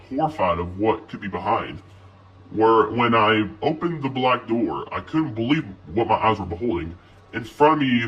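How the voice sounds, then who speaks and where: Animated male horror storyteller, forceful voice. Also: lowish voice. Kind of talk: expressive scripted horror narration. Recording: voice distant from mic.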